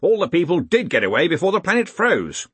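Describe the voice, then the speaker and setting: A man's voice: high voice, big pitch swings, brisk, a bit bright, breathy edge, no room sound, pitched above the performer's own voice, full character voice. A man voicing a bluff, commanding senior wizard in an audiobook, blunt and full of hearty authority. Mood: impatient.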